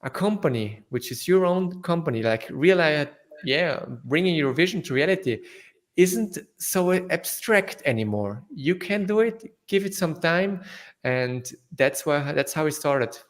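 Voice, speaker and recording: A young man from South Tyrol whose first language is German, speaking English with a German accent as an interview guest, halting with fillers and restarts. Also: a little high, lively intonation. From northern Italy, South Tyrol. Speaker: guest entrepreneur. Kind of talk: casual interview answers.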